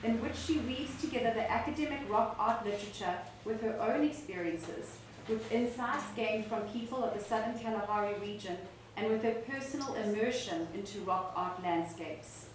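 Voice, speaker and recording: South African woman, academic, reading reviews at a launch, far from the mic in a boomy room. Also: heavy reverb, a little hiss or hum. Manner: measured, unhurried reading with small restarts. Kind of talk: reading aloud to an audience. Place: book launch venue, echoey room.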